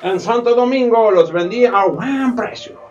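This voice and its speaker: A high-pitched, boastful comic villain captain. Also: male voice, a little slow, muffled recording. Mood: smug boasting. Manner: theatrical, stammering, peppers speech with English words. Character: boastful, greedy, hypocritical English slave-trader sea captain, comic villain. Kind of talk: community radio theatre acting. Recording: music bed behind the voice.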